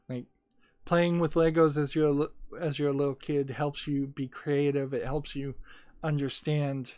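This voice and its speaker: A man's voice: fairly high pitch, darkish tone, dry recording, studio quality. A young man streaming a card game, rambling through opinions and reading chat messages aloud. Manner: rambling, opinionated, many restarts and filler. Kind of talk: casual commentary while gaming, reading chat.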